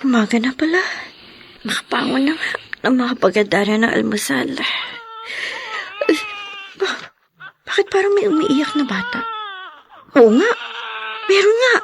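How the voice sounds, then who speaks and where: High-voiced, tender young wife. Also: female voice, a little hiss or hum, exaggerated voice. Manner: expressive, emotive. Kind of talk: radio drama acting.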